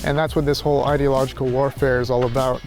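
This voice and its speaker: A man rambling casually and reflectively in a halting monologue as he walks outdoors, thinking aloud. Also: mid-range voice, flat delivery, a little mellow, soft background music. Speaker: philosophy tutor vlogger. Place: outdoors, walking.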